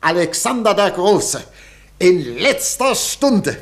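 Animated, excitable newspaper director. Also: male voice, sits high, slow pace, heavily acted. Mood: excited. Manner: animated, theatrical. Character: excitable newspaper director, a man, urgent and theatrical. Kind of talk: German radio drama acting.

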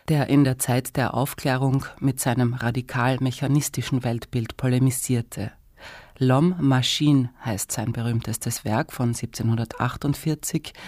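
A man's voice: mid-range voice, a little mellow, no echo. Dry, close-miked male radio announcer. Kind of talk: scripted radio programme introduction. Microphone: close studio microphone.

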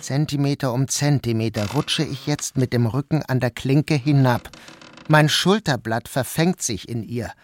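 A man narrating a literary first-person story as an audiobook reader, in a calm, even manner. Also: medium pitch, measured pace, a little hiss or hum.

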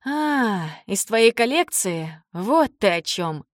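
A woman's voice: mid-range voice, sing-song, slow, no room sound, clean studio sound. A woman voicing a hesitant, devoted grown daughter in audiobook dialogue, in a conversational way. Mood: puzzled.

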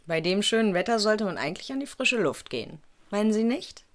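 A woman's voice: medium pitch, big pitch swings, fairly quick, lightly acted. A woman playing a curious, observant and teasing companion to a detective in a crime radio play, speaking casually and directly.

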